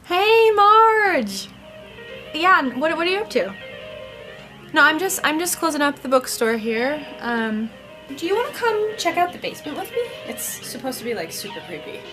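A woman's voice: high voice, big pitch swings, a little fast, pushed and bright, full character voice, rough recording. A young woman acting an exasperated, easily alarmed bookstore clerk in an amateur comedy-horror short. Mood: casual. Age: 20s. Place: bookstore interior. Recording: background music.

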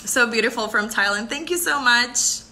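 A woman's voice: on the high side, normal speed, pushed and bright. A young woman in her twenties with a Puerto Rican accent, a pageant contestant answering viewer comments on a live stream, laughing often and switching between English and Spanish. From Puerto Rico. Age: 20s. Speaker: pageant contestant hosting a livestream. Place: bright room indoors. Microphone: phone camera held close.

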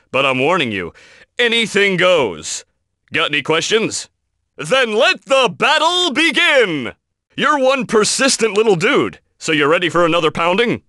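An actor playing an arrogant, muscle-bound cartoon lobster with cocky, dismissive surfer-dude talk for a video game. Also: male voice, sits high, sharp tone, dry recording, clean studio sound, heavily acted. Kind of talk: animated video game character voice acting.

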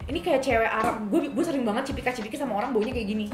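A young woman with a Jakarta accent speaks quickly in a fairly high voice. She is expressive and slangy, thinking aloud as she reviews products to camera. The room adds noticeable echo, and music plays behind her. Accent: Indonesian, Jakarta. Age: young adult. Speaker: beauty vlogger reviewing perfumes. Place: indoor room, seated at a table.

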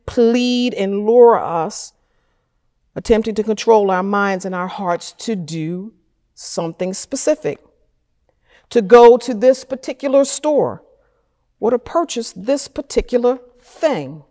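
A middle-aged woman pastor preaching a sermon from a church lectern, with slow, measured delivery and deliberate pauses. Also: medium pitch, expressive, warm tone. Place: wood-paneled church chancel, at a lectern. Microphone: fixed camera at a distance. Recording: church with a long reverb tail.

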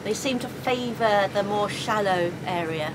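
A woman's voice: mid-range voice, measured pace. A middle-aged woman talking plainly to camera outdoors on a sailboat. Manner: plainspoken, matter-of-fact. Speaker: sailing vlogger. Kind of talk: casual on-camera talk. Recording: clear wind and boat noise.

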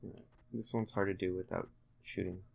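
A young man giving casual, halting, low-key commentary while concentrating on playing a video game. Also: little pitch movement, creaky, darkish tone. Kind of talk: casual let's-play commentary.

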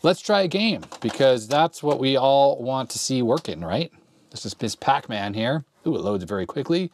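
A man's voice: mid-range voice, moderate pace. A man in his forties casually thinking aloud as he tests an old computer in a cluttered workshop, filmed up close. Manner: relaxed, thinking aloud while typing. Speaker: retro computer repair YouTuber. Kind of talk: casual hands-on demo talk. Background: keyboard clicks and typing.

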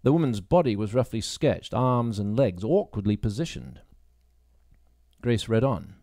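Dry-sounding male story narrator with mellow tone. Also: mid-range voice, dry recording. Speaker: audiobook reader, local actor.

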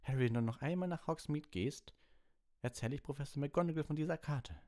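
A stern, anxious teenage girl in a storybook, put on by a male narrator. She scolds earnestly in a voice pitched well above his. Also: brisk, darkish tone, natural voice. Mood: stern, worried.

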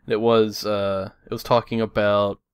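Rambling, low-voiced man on a podcast. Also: flat delivery, normal speed, smooth, dry recording, studio quality. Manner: rambling, swears casually, many fillers. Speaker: podcast host. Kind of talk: casual chat.

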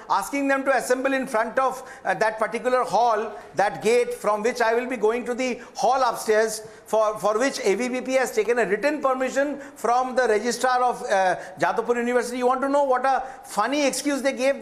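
A man's voice: very high voice, fairly bright tone. An Indian man, a government minister, defending himself insistently in a heated TV debate. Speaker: central minister, debate guest.

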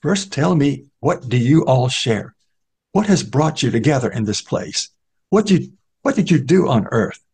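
A man voicing a calm male traveler who asks polite, persistent questions. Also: medium pitch, a little slow, creaky, husky, exaggerated voice. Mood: questioning.

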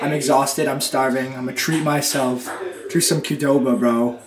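A man's voice: mid-range voice, steady tone, normal speed, low-quality recording. A teenage man talking casually to the camera in a vlog, blunt and swearing as he rants. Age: teens. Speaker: vlogger. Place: indoors at home, close to camera. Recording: hip hop music behind.

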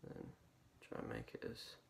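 A young man giving a casual hands-on tutorial, talking haltingly as he works with his hands. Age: young adult. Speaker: tutorial presenter. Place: small home bedroom at a desk, indoors. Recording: noisy room echo with clicks.

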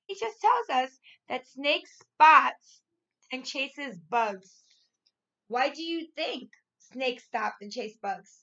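A woman in her thirties, a primary school teacher reading and explaining to young children in an expressive, clearly enunciated way. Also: high voice, muffled recording. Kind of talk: read-aloud story and lesson for young children. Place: small furnished room at home, indoors.

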